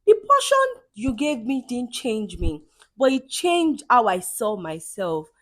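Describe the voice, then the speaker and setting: A woman's voice: fairly high pitch, very animated, slow pace, exaggerated voice. A woman voicing a kind, insecure young village woman in a folktale, earnest and plaintive.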